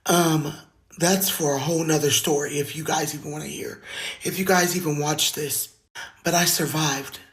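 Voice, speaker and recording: Low raspy woman with a lisp. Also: steady tone, normal speed. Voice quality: slight lisp. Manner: earnest, heartfelt, plain-spoken. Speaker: singer sharing her story. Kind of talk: personal testimony to camera. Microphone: phone video.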